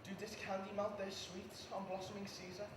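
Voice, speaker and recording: A man's voice: sits high, level tone, fairly quick, lightly acted. A man playing a betrayed, despairing Roman general in an impassioned, declamatory classical Shakespeare monologue on stage. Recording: distant echoey room with background noise.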